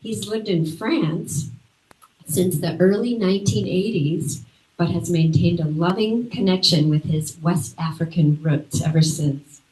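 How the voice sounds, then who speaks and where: A woman hosts a library event and reads out the guest speaker's bio. Her voice sits fairly low. She adds friendly, chatty asides to the audience, and the room echoes around her. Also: unhurried, warm tone. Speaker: event host introducing the speaker. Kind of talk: reading a speaker introduction.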